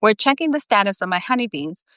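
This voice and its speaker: A woman's voice: medium pitch, big pitch swings, fast talker, fairly bright tone, dry recording, clean studio sound. A woman voicing an earnest, put-upon young male rookie scientist in a storybook, speaking plainly. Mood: matter-of-fact.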